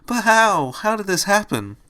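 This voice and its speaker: A man's voice: on the high side, animated, a little fast, sharp tone. A man voicing a timid, anxious female pony turned little rabbit in a storybook, hesitant and stammering. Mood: distraught.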